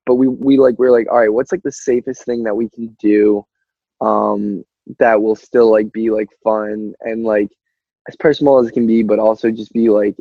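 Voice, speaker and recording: A man's voice: on the low side, mellow, no echo. A young man, a musician guest, chatting casually in a rambling, filler-heavy way on a dull lo-fi recording. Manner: rambling, peppered with "like" and "you know". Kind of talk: casual chat.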